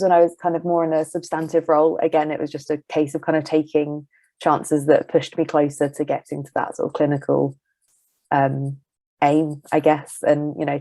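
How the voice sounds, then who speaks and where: A young woman, a trainee psychologist, giving earnest, steady advice. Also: sits low, vocal fry, dry recording. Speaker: guest, trainee clinical psychologist. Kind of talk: casual interview answers.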